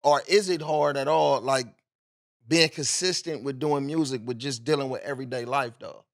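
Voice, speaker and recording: A man's voice: mid-range voice, normal speed, no echo, clean studio sound. A man hosting a podcast, asking questions in a laid-back, slangy way and swearing freely. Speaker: podcast host. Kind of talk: casual podcast interview.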